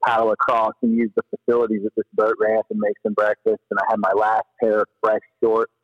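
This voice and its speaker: A man telling stories casually as a podcast guest, in an animated, slangy, rambling way. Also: fairly low pitch, brisk, no room sound, dull audio. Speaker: podcast guest, paddler and former river guide. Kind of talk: casual storytelling in an interview.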